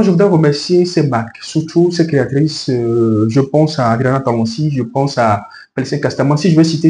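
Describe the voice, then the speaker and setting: A man's voice: mid-range voice, warm tone. A man from Benin with a Beninese accent, a native speaker of a local language, giving earnest, explanatory interview answers and advice point by point. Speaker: interview guest, fashion professional. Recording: roomy echo with faint background noise.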